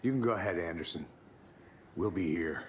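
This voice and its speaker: A man's voice: sits low, normal speed, a little hiss or hum, close to an everyday voice. An actor playing a dry, terse male FBI agent in a game cutscene.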